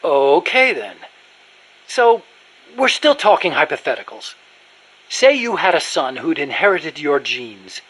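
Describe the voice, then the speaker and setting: An actor playing an earnest, talkative American male nuclear scientist in a video game, explaining things in an animated manner. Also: on the high side, a little slow, husky, full character voice, poor audio. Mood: reflective, probing.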